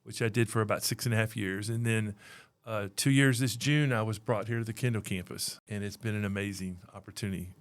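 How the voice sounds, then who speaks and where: A man's voice: lowish voice, steady tone, a little fast. A middle-aged man with a Texan accent, hosting a casual interview podcast in a friendly, chatty way. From Texas. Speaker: podcast host and campus leader.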